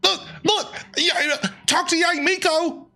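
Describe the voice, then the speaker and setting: A woman's voice: extremely high pitch, fairly even intonation, unhurried, pushed and bright. A woman streamer giving casual, sassy game commentary and playfully talking back to the characters. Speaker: gaming streamer. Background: game background music.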